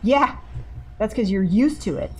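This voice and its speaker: A woman's voice: medium pitch, animated, measured pace, a little hiss or hum. A woman playing in a tabletop game, making wry, teasing remarks. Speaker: player. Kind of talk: casual tabletop game chat.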